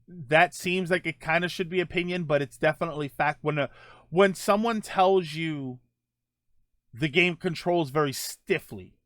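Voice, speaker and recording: Man, middle-aged, podcast host, rambling and chatty, slightly raised pitch, dry close sound. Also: no room sound. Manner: rambling, many fillers and self-corrections. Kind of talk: casual chat reading viewer comments.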